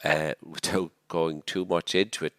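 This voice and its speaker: A man's voice: low voice, steady tone, measured pace, a bit bright, no echo, studio quality. A man in his sixties with a Dublin Irish accent, a priest being interviewed, talking reflectively with many fillers and restarts. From Dublin, Ireland. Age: 60s. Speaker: priest guest.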